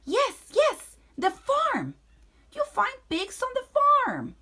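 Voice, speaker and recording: A woman's voice: high-pitched, very animated, slow pace, a bit bright, pitched above the performer's own voice, heavily acted. A woman voicing a greedy, impatient old witch who screeches and cackles. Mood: excited.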